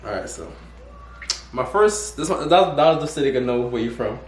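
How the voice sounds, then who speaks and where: A man's voice: medium pitch, expressive, a little fast, a little hiss or hum. A young man hosting a casual interview, rambling and restarting his questions. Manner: rambling, restarts and repeats words. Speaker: host. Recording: echoey room.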